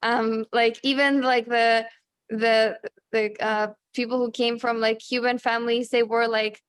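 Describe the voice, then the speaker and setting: A woman in her thirties from Chile, a native Spanish speaker, talking casually as an interview guest, halting with many fillers and restarts. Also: fairly high pitch, little pitch movement, clear, resonant tone. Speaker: guest, journalist and author.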